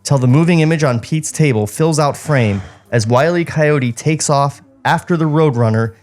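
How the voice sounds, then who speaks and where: A man's voice: fairly high pitch, normal speed, a little mellow, faint music behind. A man co-hosting a podcast, reading stage directions aloud in a table read with joking asides.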